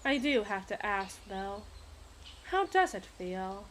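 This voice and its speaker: A woman's voice: mid-range voice, very animated, slow pace, a little hiss or hum. A woman playing a possessive, imperious evil queen in an ASMR roleplay, speaking in formal, teasing, theatrical diction. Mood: teasing, sardonic.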